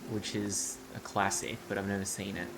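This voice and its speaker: A man's voice: lowish voice, level tone, normal speed, background noise. A man game streamer giving blunt, sweary, casual live reactions and chat.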